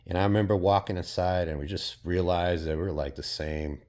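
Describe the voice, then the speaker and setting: A man's voice: sits low, steady tone, fairly quick, darkish tone. A man from Portugal talking in a casual, reflective vlog monologue, rambling and hesitant, with many fillers and casual swearing. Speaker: vlogger.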